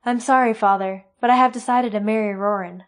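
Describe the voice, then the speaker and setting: A woman's voice: medium pitch, a little fast, lightly acted. A woman voicing a young village woman who speaks up firmly for herself. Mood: resolute. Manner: pleading, then calm and decided. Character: young village woman, tearful but resolute.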